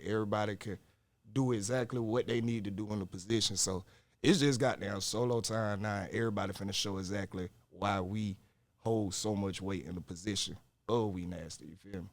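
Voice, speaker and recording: A young man, a rapper guest talking in a relaxed, rambling, slangy way. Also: fairly low pitch, no echo. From Augusta, Georgia, US. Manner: relaxed, rambling, slangy with fillers like "like" and "you feel me". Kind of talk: casual interview chat.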